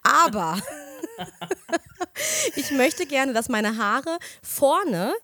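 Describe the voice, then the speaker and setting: A young woman co-hosting a casual chat, telling lively stories, laughing often and getting loud when worked up. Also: high voice, very slow, no echo, studio quality.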